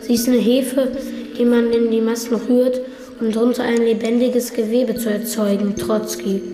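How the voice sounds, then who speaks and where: A woman's voice: a little high, flat delivery, normal speed, mellow, lightly acted. A woman playing an earnest, questioning young son in a radio drama. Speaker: actor in radio play. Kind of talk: German radio drama acting. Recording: reverberant hall, music behind.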